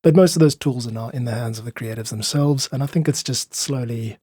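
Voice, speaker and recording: A man with a slightly rough, husky voice at an ordinary male pitch. He explains things articulately and thoughtfully, as a guest in an interview. The sound is dry and close, with little room to it. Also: fairly quick, mellow, no echo. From South Africa. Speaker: podcast guest, independent musician.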